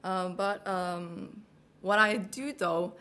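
A woman's voice: on the low side, steady tone, slow pace, pushed and bright. A young woman from Norway, a Norwegian native speaker, reading a passage and chatting casually in melodic, hesitant English with a Norwegian accent. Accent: Norwegian, American-leaning English. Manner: melodic, hesitant, many fillers. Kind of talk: read passage and casual talk.

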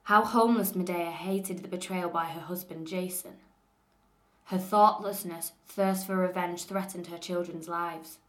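A young woman with a Lancashire accent reads a passage in a clear, measured way, then slips into relaxed, chatty talk. Her voice sits a little low, and the recording carries the echo of a roomy space. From northern England. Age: young adult. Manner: clear, measured reading; relaxed and chatty when talking freely.

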